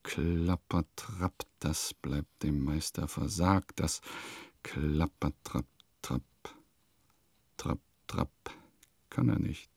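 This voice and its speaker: An actor playing a vain, eccentric composer who muses theatrically and pompously in a radio play monologue. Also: male voice, rumbling low, very slow, creaky, pauses often, a little mellow, breathy edge, no room sound, heavily acted. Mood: drowsy, trance-like. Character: vain, eccentric male composer musing in his diary.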